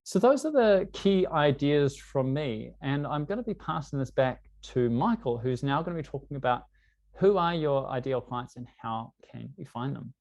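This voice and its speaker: A man's voice: mid-range voice, animated, normal speed, vocal fry, darkish tone. A man from New Zealand with a New Zealand English accent, presenting an online workshop in a conversational way, often saying "you know". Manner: conversational, uses many fillers like "you know". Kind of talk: online business workshop talk. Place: lives in London.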